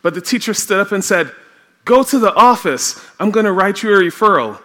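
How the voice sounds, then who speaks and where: A man's voice: sits high, expressive, sharp tone. A man from Trinidad with a Trinidadian accent, preaching a sermon in a church and telling personal stories with frequent laughter. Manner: slow, storytelling, often laughing. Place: church sanctuary.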